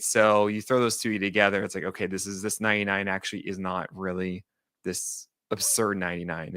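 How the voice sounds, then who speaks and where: A man co-hosting a pitching podcast, talking baseball in animated, joking casual chat with plenty of fillers. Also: no echo, studio quality.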